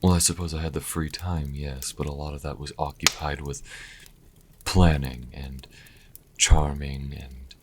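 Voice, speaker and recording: A man playing a proud, wry vampire healer in an intimate audio roleplay, speaking to the listener with haughty, deliberate pauses. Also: little pitch movement, heavy background noise. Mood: reflective, wry. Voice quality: very deep, husky, breathy at times. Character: sassy male vampire healer, proud, wry, grudgingly charitable.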